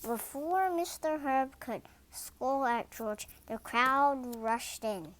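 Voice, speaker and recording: Very slow, bright young storybook reader. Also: high-pitched, a little hiss or hum, rough recording. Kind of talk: read-aloud children's story narration.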